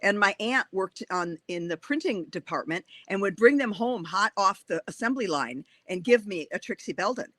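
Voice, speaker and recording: Older woman, 60s, Wisconsin accent, bright forceful voice, enthusiastic chatty author, dry sound. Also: medium pitch, animated, normal speed, hardly any pauses, no echo. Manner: chatty, enthusiastic, laughs often. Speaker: author guest. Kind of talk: bookstore author talk.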